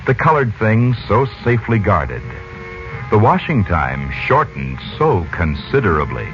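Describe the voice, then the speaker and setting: A man announcing a 1940s-style radio serial and sponsor pitch in a folksy, persuasive way. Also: sing-song, a little slow. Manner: folksy, persuasive, rhetorical questions. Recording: dull lo-fi old radio, music behind. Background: music.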